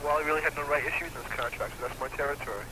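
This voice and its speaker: A man's voice: a little high, fairly even intonation, racing, noisy background. A man working as a booking agent, asking blunt questions on an old taped business phone call. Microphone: telephone.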